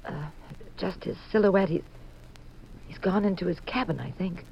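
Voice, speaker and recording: An actress playing an anxious, inquisitive detective's wife, urgent and questioning, in a 1950s radio drama. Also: female voice, on the low side, moderate pace, vocal fry. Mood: tense, curious. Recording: muffled, hissy 1950s radio recording.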